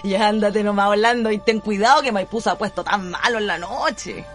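Radio play role: woman acting warm-hearted, cheerful Chilean cousin, casual talk, music playing behind. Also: medium pitch, a little fast, close to an everyday voice. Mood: cheerful, caring. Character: cheerful, caring female cousin seeing a visitor off.

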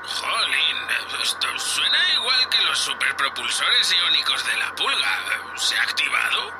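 A man's voice: fairly high pitch, big pitch swings, a little slow, over loud music, low-quality recording. A man with a Castilian Spanish accent playing a nervous, deferential young spaceship crewman in a sci-fi audio drama. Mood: puzzled, nervous. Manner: hesitant, anxious, deferential, full of questions.

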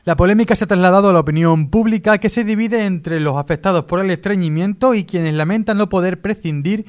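A male radio co-host with a Spain accent delivers mock news in a high-pitched voice. He reads formal reports and drops into chatty asides. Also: a little fast.

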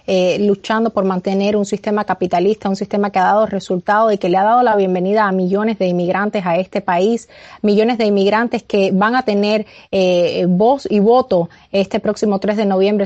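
A woman's voice: medium pitch, darkish tone, lo-fi sound. A woman from Cuba, an interview guest speaking earnestly and persuasively, with many hesitations and restarts. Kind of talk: political interview. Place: remote, in the United States.

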